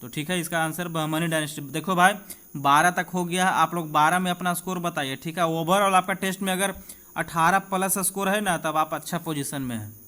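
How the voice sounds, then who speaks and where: A man's voice: fairly high pitch, steady tone, brisk, sharp tone. A man with a Bihari accent teaching an informal exam-prep lesson, reading out quiz questions and explaining answers, often checking in with his students in a conversational way. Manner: conversational, addresses students directly with repeated check-ins.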